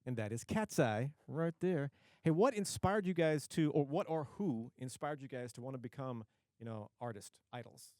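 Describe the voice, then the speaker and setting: A man hosting a casual radio studio interview, excitable, often stumbling and repeating words as he talks. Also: medium pitch, normal speed, no echo. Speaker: radio show host.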